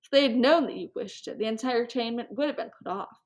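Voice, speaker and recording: A woman's voice: fairly high pitch, fast, close to an everyday voice. A woman voicing an aging, patronizing prince with a languid, listless and coldly polite manner, in a storybook reading. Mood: politely indifferent.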